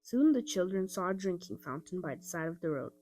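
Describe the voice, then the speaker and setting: A woman narrating a children's audiobook in an expressive storytelling style. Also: fairly low pitch, fairly quick. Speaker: storybook reader.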